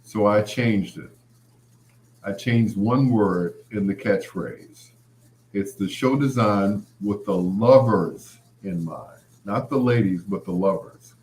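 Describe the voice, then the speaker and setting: A man's voice: fairly low pitch, takes it slowly, a little mellow, slight background noise. A man hosting a late-night show, talking in a casual, rambling, conversational monologue with anecdotes. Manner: conversational, anecdotal, quoting past exchanges. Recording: roomy, echoing sound.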